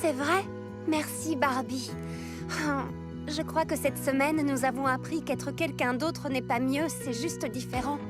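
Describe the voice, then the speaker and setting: A woman voicing an eager, excitable little girl in a French cartoon dub, talking in a bouncy, enthusiastic way. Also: high-pitched, measured pace. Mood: earnest. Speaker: dub voice actress. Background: music.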